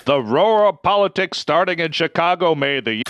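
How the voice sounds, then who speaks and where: A vintage newsreel narrator with a fairly high, projected delivery. He declaims every phrase with emphasis and old-fashioned pronunciation. The voice is treated to sound like mid-century film audio. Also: lively intonation, moderate pace, a bit bright, no room sound. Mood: emphatic, urgent. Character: brisk, booming 1950s newsreel announcer. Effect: vintage tape, tube and EQ newsreel processing.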